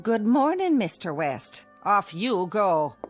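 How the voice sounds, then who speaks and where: A brisk rooming-house landlady. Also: medium pitch, big pitch swings, soft background music. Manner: brisk, matter-of-fact. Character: brisk, no-nonsense female landlady. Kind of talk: 1960s television drama acting.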